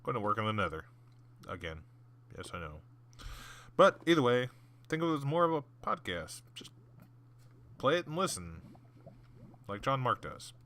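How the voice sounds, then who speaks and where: A man's voice: medium pitch, very animated, normal speed, pauses often, bright, forceful tone. A young adult man hosting a gaming video, telling stories from work in a loose, rambling way with lots of fillers. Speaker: gaming channel host. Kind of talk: casual storytelling over gameplay. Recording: clear background clicking.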